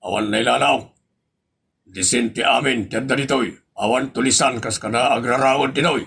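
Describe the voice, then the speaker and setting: A man's voice: medium pitch, moderate pace, creaky, a bit bright, slightly rough. A man with an Ilocano accent acting as a stern, officious gate guard in a comedy audio drama, speaking bluntly.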